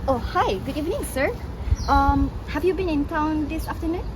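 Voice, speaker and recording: A woman's voice: sits high, moderate pace, lightly acted. A woman playing a chatty, deferential working man in a stage play, rambling hesitantly. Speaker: stage actor. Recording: noisy recording with background ambience.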